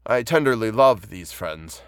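A man's voice: lowish voice, lively intonation, unhurried, smooth, no room sound, studio quality. A man voicing an outcast monster in an audiobook, anguished and pleading, with formal, fervent, eloquent speech. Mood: earnest, hopeful.

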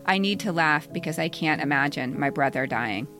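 A middle-aged American woman reading a scripted personal story in a measured way, in short plain sentences. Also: low-pitched, animated, over music. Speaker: podcast co-host reading their own essay.

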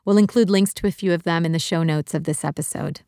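A woman from Uruguay, the host of a podcast, reading scripted narration in a clear, evenly paced delivery. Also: fairly low pitch, fast, dark tone, no echo, studio quality. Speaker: podcast host and executive producer.

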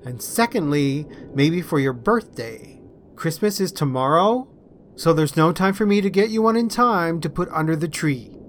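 A man's voice: on the high side, expressive, normal speed, soft background music, voice pushed up, natural voice. Man voicing teasing young woman, mischievous and ambitious. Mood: mock outrage.

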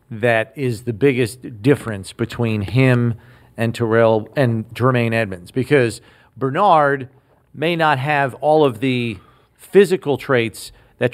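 A man hosting a sports podcast, delivering scripted lines in a measured, announcer-like way. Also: medium pitch, dry recording. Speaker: podcast host.

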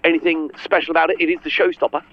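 A man's voice: a little high, little pitch movement, rapid-fire, natural voice. A man playing a flustered TV cookery show presenter, fussing and repeating himself in mock live-broadcast style. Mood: presenterly. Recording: dull lo-fi sound, clear noise.

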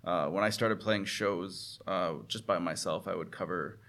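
A man's voice: sits low, fairly even intonation, moderate pace, a bit bright. A man in a casual conversation about music, talking as a guest musician, earnest and enthusiastic, often rephrasing as he goes.

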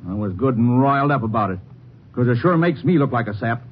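A man playing an indignant, fast-talking traveler. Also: medium pitch, lively intonation, close to an everyday voice. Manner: indignant, folksy and quick-talking. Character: irate traveling businessman, middle-aged. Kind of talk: 1950s radio drama acting. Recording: muffled old radio broadcast, faint hiss.

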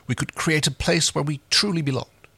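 A man reading aloud and voicing a scheming male ex-commander with earnest, theatrical persuasion, his voice pushed higher than his own. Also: fairly even intonation, moderate pace, creaky, sharp tone, husky, no room sound, exaggerated voice. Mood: persuasive.

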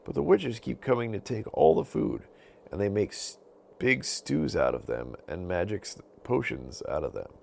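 A polite, downtrodden wolf from a bedtime story, acted out by a man. The voice is pushed up high and swings widely in pitch, earnest and pleading, with the manner of a grateful, hungry creature. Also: big pitch swings, normal speed, vocal fry, heavily acted. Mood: complaining.

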